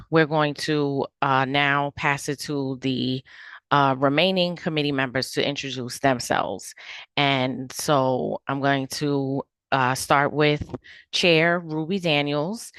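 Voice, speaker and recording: A woman moderating a committee video meeting, speaking slowly and deliberately with filler pauses. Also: a bass voice, fairly bright tone, clean tone, dry recording, studio quality. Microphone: video call.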